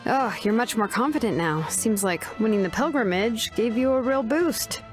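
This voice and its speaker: Woman playing a kind, higher-voiced young warrior. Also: expressive, moderate pace, music in the background, natural voice. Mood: supportive. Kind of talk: scripted video game voice acting.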